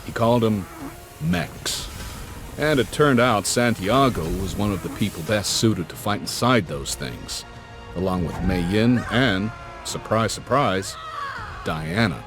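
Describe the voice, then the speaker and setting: A folksy older adventurer tells his tale in a low voice with slangy, reflective phrasing. It sounds like a cinematic game cutscene, with music clearly playing behind him. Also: animated, a little slow. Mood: wry, dramatic. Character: folksy older male adventurer, warm storytelling uncle type.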